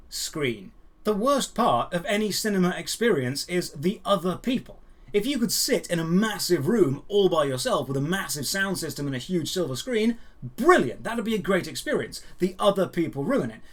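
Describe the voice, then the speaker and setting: A man hosting a gaming and geek news show, giving dry, opinionated commentary with emphatic phrasing and rhetorical questions. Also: on the high side.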